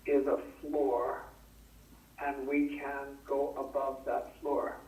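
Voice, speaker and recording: A man on a speakerphone in a public meeting, explaining county rules in a measured way. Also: medium pitch, a little mellow, recorded from a distance. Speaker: county administrator on the phone. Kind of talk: public meeting answers by phone. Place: meeting room, heard over a speakerphone. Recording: phone voice on a speaker in an echoey room.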